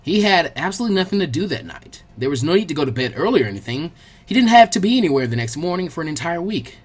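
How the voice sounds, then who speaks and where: A man reading a story aloud as an expressive, colloquial audiobook narrator, with a husky voice. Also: fairly high pitch, fast talker. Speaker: fan fiction audiobook narrator.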